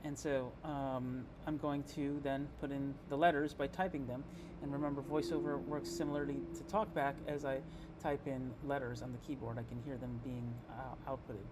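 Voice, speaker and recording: A man presenting an informal software tutorial, explaining step by step in a halting, think-aloud way. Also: medium pitch, little pitch movement, noisy background. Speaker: presenter giving a software demo. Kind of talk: informal screen-reader tutorial narration.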